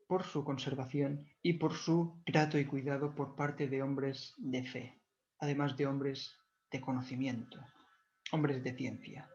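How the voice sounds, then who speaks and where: A man whose first language is Catalan gives a measured, devout explanatory talk, often pausing to correct himself. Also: mid-range voice, a little mellow, slight background noise. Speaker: video lecturer. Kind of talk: explanatory talk on church history. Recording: dull lo-fi recording.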